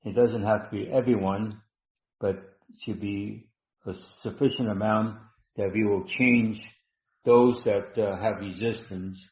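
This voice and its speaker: A man hosting a live online healing session, talking slowly and instructively, repeating words with many ums. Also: on the low side, fairly even intonation, darkish tone. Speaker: webinar host and healer. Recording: dull roomy online call audio.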